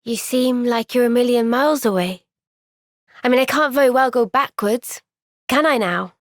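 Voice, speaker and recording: An actress playing an earnest, gentle but firm young woman fighter in a video game, speaking in a measured, sincere way. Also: fairly high pitch, dry recording, studio quality, natural voice. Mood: pensive. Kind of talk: video game cutscene voice acting.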